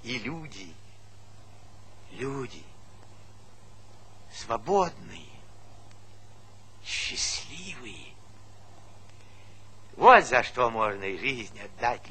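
An actor playing an earnest old village man in a stage play, speaking in a folksy, halting way full of verbal tics. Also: medium pitch, bright, forceful tone, exaggerated voice. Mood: wistful, tender. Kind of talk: theatrical stage-play monologue. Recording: noisy vintage stage play recording.

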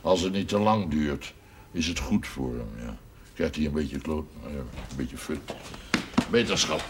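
An actor playing a blunt, self-assured village man who gives gruffly kind advice in a television period drama. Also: rumbling low, expressive, a little slow. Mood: blunt, hearty. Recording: noisy old TV soundtrack.

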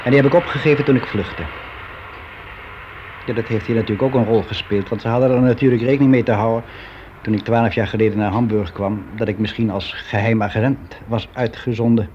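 An actor playing a weary, resigned office clerk around sixty, confiding in a halting, reflective way in a naturalistic radio play. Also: male voice, lowish voice, fairly even intonation, a little mellow, natural voice. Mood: resigned, regretful. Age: 60s. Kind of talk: naturalistic radio drama monologue. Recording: dull, noisy radio play recording.

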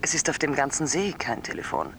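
An actress playing a dreamy, literal-minded caller to a radio show, halting and hushed. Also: female voice, sits low, big pitch swings, creaky, noisy background, full character voice. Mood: hesitant, insistent. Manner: halting, hushed, trance-like, naive. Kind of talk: radio drama acting.

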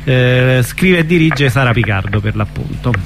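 A man hosting a radio show, chatting and interviewing in an informal, halting way. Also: medium pitch, lively intonation, music in the background. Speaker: radio host. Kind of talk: casual radio chat and interview.

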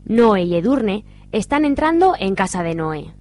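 Female narrator for scripted radio serial; mid pitch, Spain accent, music underneath. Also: sing-song, measured pace, darkish tone.

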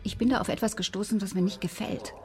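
An actress playing a suspicious, determined dance school owner and secret lover in a German radio crime drama, speaking in an insistent, conspiratorial way. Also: female voice, medium pitch, steady tone, quick pace, lightly acted. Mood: worried, suspicious.

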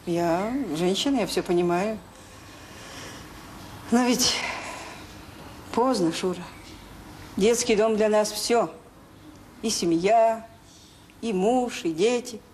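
An actress plays a knowing middle-aged orphanage worker in a radio drama. She speaks at a medium pitch, frankly and with measured, gentle irony, over a clearly noisy old recording. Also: close to an everyday voice. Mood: frank, sad. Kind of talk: Soviet radio drama acting.

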